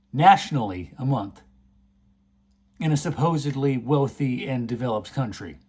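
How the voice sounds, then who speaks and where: A man's voice: medium pitch, darkish tone. A man delivering a scripted, earnest commentary, indignant about the issue he is laying out. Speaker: video essay host.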